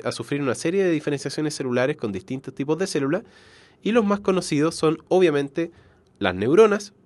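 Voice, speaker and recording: Guy teaching an online bio class, bit high pitched, stuffed up nose from a cold, very dry sound, keeps rephrasing. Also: darkish tone, dry recording. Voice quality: nasal, congested. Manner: explanatory, frequent self-corrections and check-in tags. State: has a cold. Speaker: biology teacher. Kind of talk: live online lecture.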